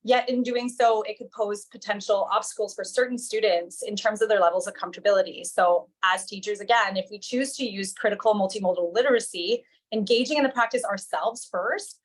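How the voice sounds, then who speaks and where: A woman presenting research at an academic symposium in a measured way, often pausing on "um". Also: medium pitch, poor audio. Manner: measured, with frequent ums.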